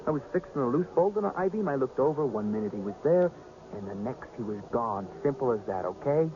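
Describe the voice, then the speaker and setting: An actor playing an obsessive, defensive carnival worker in a radio drama, with a curt, cocky manner. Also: male voice, mid-range voice, expressive, fast, close to an everyday voice. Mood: defensive, scornful. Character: obsessive, defensive carnival ride worker turned brooding mystic. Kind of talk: 1980s radio drama acting. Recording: muffled, noisy old radio broadcast.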